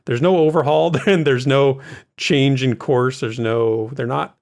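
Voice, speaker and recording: A man's voice: medium pitch, steady tone, measured pace, gravelly, darkish tone. Man co-hosting a casual gaming podcast. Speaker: co-host of a gaming news recap show. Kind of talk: casual podcast discussion of game news.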